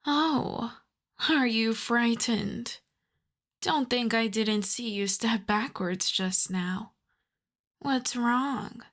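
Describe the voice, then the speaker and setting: A woman playing a teasing, imperious older vampire countess in an ASMR roleplay, speaking deliberately and theatrically, with touches of breathy whisper. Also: a little high, a bit bright, exaggerated voice. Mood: teasing, coaxing.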